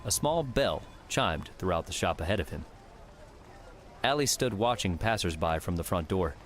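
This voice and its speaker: A man's voice: sits low, lively intonation, normal speed, soft background music. A man reading scripted story narration as an audiobook narrator.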